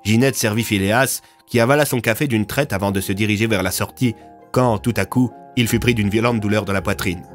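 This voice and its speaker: A man's voice: on the low side, fairly quick, faint music behind. A man narrating scripted audio fiction as a storyteller.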